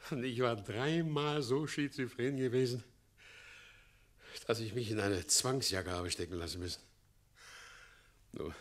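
An actor playing an evasive, half-mad town mayor in a German radio play, gushing with loyalty and swinging theatrically between hush and outbursts. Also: male voice, lowish voice. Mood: agitated, relieved.

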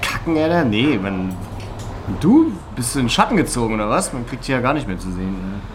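An actor playing a pushy, suspicious young small-time dealer with coarse slang, in naturalistic radio drama. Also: male voice, medium pitch, sing-song, quick pace, noisy background, lightly acted. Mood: boisterous, gossipy. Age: young adult.

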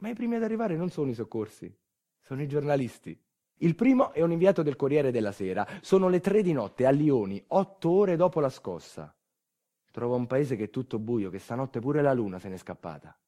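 A man delivering a dramatic monologue as a storyteller, intense and colloquial, moving between hushed and loud delivery. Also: medium pitch, sing-song, brisk. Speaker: stage storyteller. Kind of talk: dramatic narrative theatre monologue.

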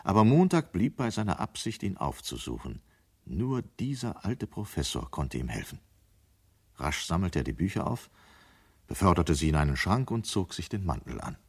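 A man narrating a German radio drama as a grave, reflective storyteller, measured and dramatic. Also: low-pitched, sing-song, a little mellow, husky, heavily acted.

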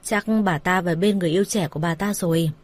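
A woman's voice: mid-range voice, animated, normal speed, a little mellow, slightly rough, dry recording. A woman voicing a composed, shrewd man in his forties in an audio story. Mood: calm. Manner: composed, matter-of-fact. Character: calm, shrewd forty-five-year-old man.

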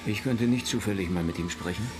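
Deep-voiced, persistent police detective played by a man. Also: quick pace, background noise, lightly acted. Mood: calm, probing. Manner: polite, dry, persistent questioning. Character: calm, probing male police detective. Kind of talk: radio crime drama acting.

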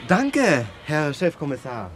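An actor playing an evasive small-time crook, hesitant and obsequiously polite, in a German radio crime satire. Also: male voice, a little high, wildly swooping pitch, slow pace, faint music behind, exaggerated voice. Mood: smugly polite. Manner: hesitant, stammering, oily politeness.

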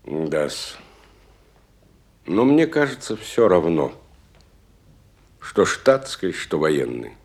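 An actor playing a courteous, world-weary middle-aged military officer in a classical stage drama. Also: male voice, low-pitched, very animated, very slow, long pauses, a little mellow, exaggerated voice. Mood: weary musing.

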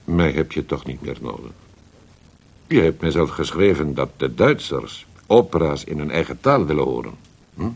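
An actor playing a worldly, scheming Italian poet in a radio drama, with an Italian accent, a gravelly, husky voice and a theatrical, halting delivery sprinkled with Italian words. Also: male voice, a bass voice, animated, exaggerated voice. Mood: persuasive.

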